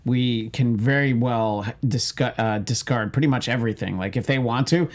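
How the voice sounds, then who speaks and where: A man speaking as a panelist on a movie news show, casual and somewhat halting, given to wordy asides. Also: medium pitch.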